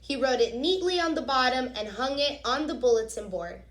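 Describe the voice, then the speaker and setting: A woman reading a picture book aloud as a teacher, expressively, pausing to explain things directly to young children. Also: high voice. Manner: expressive, instructive, addresses young listeners directly. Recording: echoey, reverberant room.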